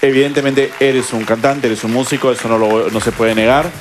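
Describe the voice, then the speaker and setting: A man's voice: medium pitch, steady tone, fairly quick, music in the background, crowd noise. A man judging contestants on a live televised talent show, in a TV studio.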